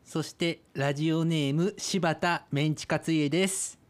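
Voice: medium pitch, steady tone, a little slow. A polite, somewhat hesitant guest giving casual answers in an interview. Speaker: listener guest who acted in radio drama.

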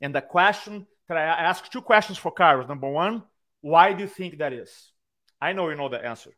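A man's voice: on the high side, brisk. A man with a Brazilian accent, a speaking coach telling stories in a podcast interview over a video call. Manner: animated storyteller, acts out quotes. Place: his own room on a video call.

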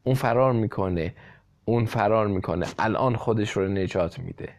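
A man's voice: fairly low pitch, darkish tone, natural voice. A man voicing a foolish male servant in a storybook tale, earnestly repeating short phrases. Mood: excited shouting.

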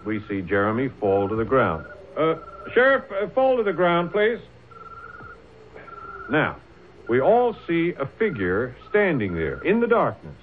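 Animated colonial defense lawyer, old radio. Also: male voice, mid-range voice, unhurried. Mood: dramatic, oratorical. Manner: hesitant fillers turning to oratorical questioning. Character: earnest, shrewd colonial defense lawyer, courteous but insistent. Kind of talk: old-time radio drama acting. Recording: phone-like old radio recording, faint hiss.

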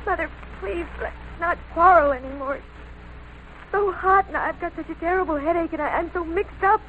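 Overwrought seventeen-year-old daughter, insistent, very high-pitched, vintage radio melodrama. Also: female voice, normal speed, phone-quality sound. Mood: pleading. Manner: emphatic, repeats herself insistently. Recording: noisy vintage radio recording.

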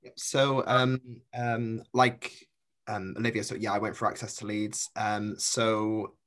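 A young man with a fairly low voice speaks in a casual, halting way, full of ums and uhs. He is a PhD student guest from Cornwall on a podcast, and he chuckles lightly as he goes. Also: level tone, a bit bright. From Cornwall, England. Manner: hesitant, many fillers, laughs lightly.

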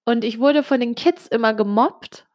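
A woman's voice: fairly high pitch, normal speed, darkish tone. A young woman from Switzerland, Italian her first language, telling a personal story straight to the listener in a confiding, casual way with many small fillers. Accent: Italian-Swiss German speaker with little Swiss accent. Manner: confiding, addresses the listener directly, frequent fillers. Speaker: vlogger.

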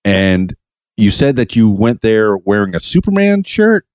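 Lively low-voiced male podcast host. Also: unhurried, no room sound, clean studio sound. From Miami. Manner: chatty, teasing, animated questions. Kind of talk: casual interview chat.

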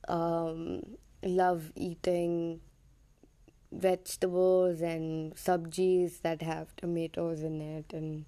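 Slow, hesitant Indian-accented woman. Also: lowish voice, level tone, mellow. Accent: Indian English, drifting toward American. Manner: hesitant, many ums and self-corrections. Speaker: podcast host. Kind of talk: casual personal monologue.

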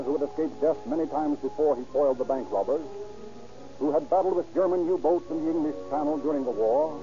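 A man narrating a 1930s radio crime drama in a measured, declamatory way. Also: on the high side. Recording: muffled old radio recording over music.

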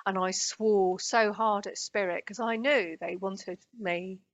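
A British woman of fifty, chatting warmly and animatedly as a guest about her spiritual work, over slightly dull audio. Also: mid-range voice, steady tone, slow. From Great Britain. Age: 50s. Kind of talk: casual conversational interview.

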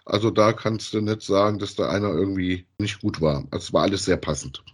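Man, middle-aged, lowish pitch, rough husky tone, podcast co-host, casual review chat. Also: steady tone, fast. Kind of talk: casual review chat about audio dramas.

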